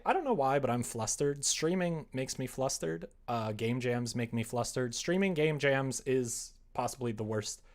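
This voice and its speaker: A man chatting casually on a livestream as he works, rambling and self-deprecating, often muttering to himself, with a gravelly, creaky voice. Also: mid-range voice. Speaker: live streamer, game developer. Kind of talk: casual livestream chat while working on screen.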